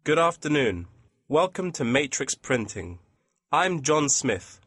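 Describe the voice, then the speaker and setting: Man acting polite print shop employee, lively intonation. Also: on the high side, slow pace, dry recording, clean studio sound, exaggerated voice. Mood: polite, attentive. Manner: courteous, customer-service formal. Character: polite, businesslike male printing company employee taking a customer's requests. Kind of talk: scripted exam listening dialogue.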